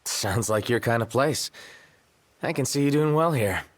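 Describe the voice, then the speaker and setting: A man's voice: mid-range voice, animated, normal speed, close to an everyday voice. A man playing a laid-back, reticent young prince in a video game cutscene. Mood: relaxed, amused.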